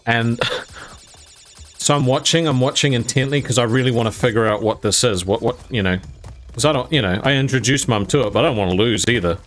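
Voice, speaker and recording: A man's voice: medium pitch, brisk, a bit bright. Casting note: young guy, mid-range voice, casual rambly storyteller full of "um"s and "you know"s, faint music under him. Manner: rambling with fillers, laughing as he tells it. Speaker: gaming YouTuber telling a personal story.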